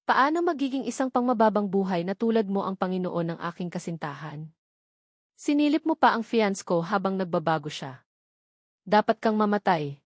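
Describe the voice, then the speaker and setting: A woman's voice: medium pitch, expressive, moderate pace, dry recording, clean studio sound, lightly acted. Jealous, cowardly fighter read by a woman. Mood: menacing. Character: jealous, cowardly male fighter, threatening then grovelling.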